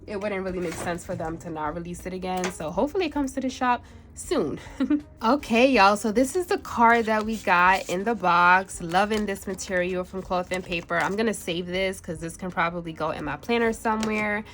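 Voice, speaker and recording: A woman's voice: mid-range voice, normal speed, fairly bright tone. A woman with a congested, stuffy voice chatting through an unboxing on her planner vlog, laughing often between phrases. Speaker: planner vlogger.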